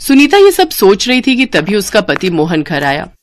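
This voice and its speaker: A female storyteller reads a scripted moral tale. Her voice sits at a medium pitch, and she delivers it as narration for listeners following a story. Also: huge pitch swings, normal speed.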